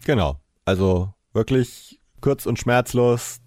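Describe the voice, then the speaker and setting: A man's voice: medium pitch, takes it slowly, darkish tone, no echo, studio quality. A man on a podcast retelling a story in an informal, explanatory way. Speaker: podcast co-presenter.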